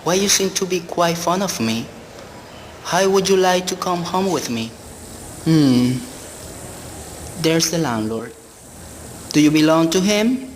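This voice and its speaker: An actor in a radio play voicing an uneasy, haunted man, musing in a theatrical, deliberate way. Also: a little high. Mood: curious, coaxing. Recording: long hall reverb, background noise.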